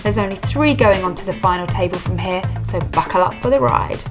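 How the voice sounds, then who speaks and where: A woman's voice: on the low side, brisk, loud music behind the voice. A woman giving scripted, punchy voice-over narration for a poker broadcast. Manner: punchy, dramatic delivery. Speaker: show narrator.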